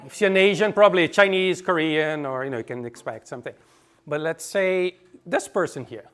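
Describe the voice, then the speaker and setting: A man's voice: a little high, fairly quick, fairly bright tone, clean tone. A man presenting at a conference, talking loosely with many restarts and quizzing the audience. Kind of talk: presentation talk with audience quiz.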